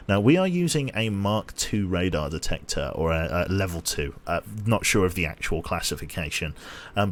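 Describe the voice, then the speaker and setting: A man streaming a game with casual commentary, a little tired, his voice slightly nasal and a bit raspy, chatty as he thinks aloud and thanks viewers. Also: deep voice, a little hiss or hum.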